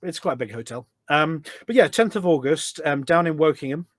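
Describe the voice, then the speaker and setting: A man's voice: on the high side, animated, fairly quick, fairly bright tone, no room sound. A middle-aged man talking casually and at length as a podcast guest, explaining things in an anecdotal way. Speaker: podcast guest, volunteer event organiser. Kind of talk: casual conversational interview.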